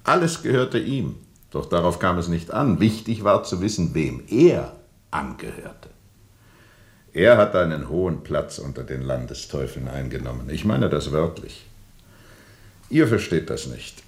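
An actor playing a haunted steamer captain who tells of a dark journey in a brooding, insistent radio play monologue. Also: male voice, a bass voice, big pitch swings, a little slow, exaggerated voice. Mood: bitter, intense. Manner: brooding, insistent, dramatic repetition.

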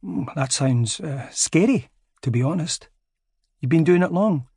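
A man's voice: fairly high pitch, lively intonation, unhurried, dark tone, breathy edge, no room sound, studio quality, pitched above the performer's own voice, full character voice. A man voicing a mild, dryly ironic Scottish man in his thirties, with a Scottish accent. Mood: polite. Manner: polite, understated, dryly ironic.

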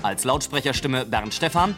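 A man's voice: mid-range voice, lively intonation, normal speed. Male radio drama announcer over music. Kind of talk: scripted radio narration, reading credits. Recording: music under the voice.

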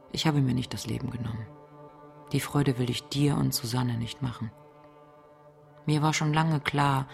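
Creaky-voiced, cold departing wife. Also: female voice, rumbling low, exaggerated voice. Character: cold, resolute wife leaving her husband. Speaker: actor in a radio drama. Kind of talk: letter read in character in a radio drama.

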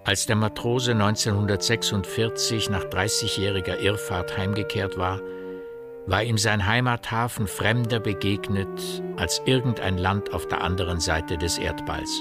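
A man with an Austrian accent narrating a radio drama in measured, literary, faintly ironic storytelling. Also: low-pitched. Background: prominent brass music.

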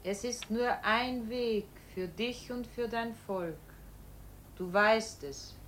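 An actress playing a calm, otherworldly inner voice that summons a man, solemn and measured, in a radio play. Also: a little high. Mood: solemn, beckoning. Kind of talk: German radio play acting. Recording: noisy old radio play recording.

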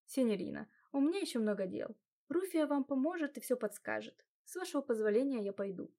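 A woman's voice: a little high, lively intonation, fairly quick, vocal fry, mellow. A woman voicing a polite, slightly hesitant middle-aged housekeeper. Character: fussy attentive female housekeeper, middle-aged.

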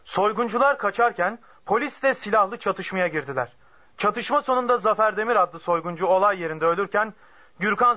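An actor playing a formal radio newsreader delivering a brisk bulletin in a radio drama. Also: male voice, sits high, sharp tone. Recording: narrow, dull radio bulletin sound.

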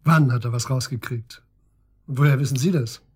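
An actor playing a terse, sceptical police detective who puts curt, probing questions in a radio drama. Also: male voice, mid-range voice, moderate pace, darkish tone, husky, lightly acted. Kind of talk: German radio drama acting, interrogation dialogue.

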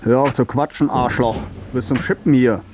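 An actor playing a gruff, abusive construction foreman in a radio drama, barking curt orders and insults. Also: medium pitch, fairly even intonation, normal speed, noisy background, lightly acted. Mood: contemptuous. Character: gruff, foul-mouthed bullying construction site foreman.

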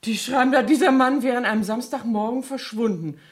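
A woman playing a high-voiced, nosy cleaning lady. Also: brisk, natural voice. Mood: astonished, chatty. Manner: artless, persistent, grumbling. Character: nosy, naive-seeming, chatty French cleaning woman. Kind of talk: 1970s radio play acting.

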